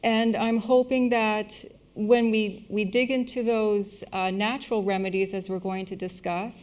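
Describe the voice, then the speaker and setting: A woman giving a public health lesson in short, plain segments, pausing for an interpreter. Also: medium pitch, steady tone, a little mellow. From Canada. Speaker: health lecturer, medical missionary.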